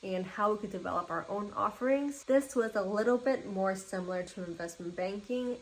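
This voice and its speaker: A woman's voice: mid-range voice, slight background noise, low-quality recording. A young woman in her twenties, a native Mandarin speaker, walking viewers through her resume in an upbeat, orderly vlog. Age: 20s. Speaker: vlogger.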